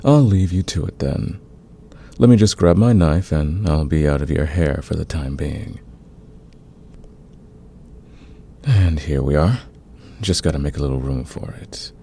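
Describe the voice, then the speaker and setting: A man playing a smitten, dominant fantasy villain in a romantic ASMR roleplay, speaking in an intimate, teasing, confident way with a gravelly, husky voice. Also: very deep voice, moderate pace, mellow, a little hiss or hum. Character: smitten male fantasy villain, patient, dominant, smoothly seductive.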